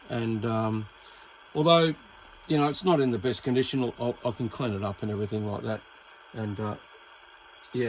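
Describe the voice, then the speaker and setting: A man's voice: mid-range voice, slight background noise, low-quality recording. Easygoing Australian man, around sixty. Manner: rambling, lots of uhs and ums, easygoing. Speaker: radio hobbyist showing a CB set. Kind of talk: casual show-and-tell to camera.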